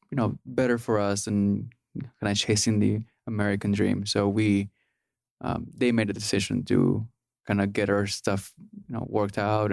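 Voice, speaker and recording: Podcast guest in his thirties, from Peru, low gravelly voice, halting with fillers, dry close mic. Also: darkish tone, no room sound. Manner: hesitant, many ums and restarts. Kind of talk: casual interview.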